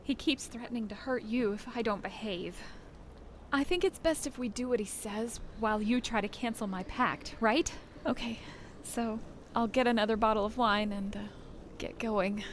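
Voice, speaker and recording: A woman voicing an anxious, obedient young daughter in a video game, worried and halting. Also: a little high, slight background noise, close to an everyday voice. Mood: anxious, resigned. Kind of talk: video game character acting.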